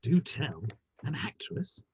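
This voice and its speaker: An actor playing a gossipy, urbane Victorian theatre gentleman with a dry, arch manner and a husky voice, in an online play reading. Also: a little high, very animated, slow, heavily acted. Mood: dry, curious. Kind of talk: dramatic play reading over the internet. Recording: muffled, roomy online call audio.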